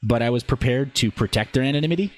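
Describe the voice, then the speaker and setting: A man's voice: medium pitch, fast talker. A man hosting a livestream, chatting casually and enthusiastically about tech in an informal, conversational way. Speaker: livestream host.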